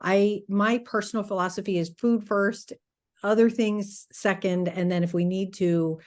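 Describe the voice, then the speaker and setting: A woman hosting an interview, who introduces her guest and asks questions in an enthusiastic, unhurried way. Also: medium pitch, steady tone, darkish tone. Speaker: interview host.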